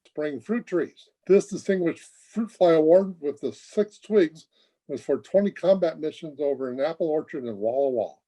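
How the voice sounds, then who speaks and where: Man as mellow, boyish young military officer. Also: on the high side, expressive, normal speed, low-quality recording. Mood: proud, polite. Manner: courteous, earnest, wordy. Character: earnest, polite, boyish twenty-year-old Air Force officer. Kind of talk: old-time radio comedy acting.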